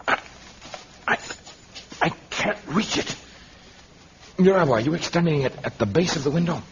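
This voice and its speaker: An actor playing a noble, earnest and commanding jungle hero in a vintage radio serial, with formal, deliberate diction. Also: male voice, on the high side, lively intonation. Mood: tense. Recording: dull noisy vintage radio recording.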